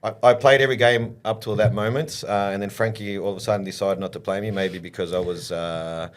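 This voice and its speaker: A man, middle-aged, telling stories from his playing days in a relaxed interview, with many pauses and restarts. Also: fairly low pitch, slight background noise. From Australia. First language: English. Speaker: football coach and former player, podcast guest.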